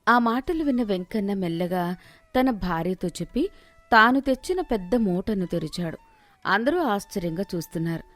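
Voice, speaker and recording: A woman's voice: medium pitch, lively intonation, normal speed. A woman reading a scripted moral story as its narrator. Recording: music behind the voice.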